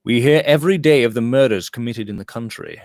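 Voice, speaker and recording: A man's voice: mid-range voice, fast, a little mellow, dry recording. Dry-sounding man reading a novel aloud. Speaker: audiobook reader. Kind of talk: scripted literary narration.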